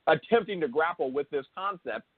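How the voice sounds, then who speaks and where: Man explaining haltingly over a phone-like line. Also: fairly high pitch, lively intonation, dry recording. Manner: halting, repeats and rephrases himself, emphatic on key words. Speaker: show host interviewing a guest. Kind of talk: unscripted explanatory discussion. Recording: thin phone-like call audio.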